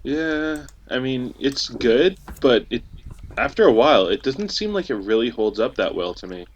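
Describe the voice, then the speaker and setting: A man's voice: medium pitch, very animated, moderate pace, highs cut off, a little hiss or hum. A young man chatting casually as a panelist in a group podcast discussion, on an amateur internet recording.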